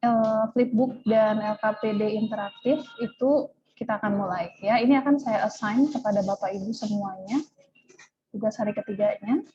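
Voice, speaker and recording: A woman's voice: mid-range voice, normal speed, dark tone. A woman with an Indonesian accent teaching an informal online tutorial over a video call, often checking in with her listeners. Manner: repeatedly checks with listeners using "ya". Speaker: online workshop trainer. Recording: dull lo-fi video-call audio.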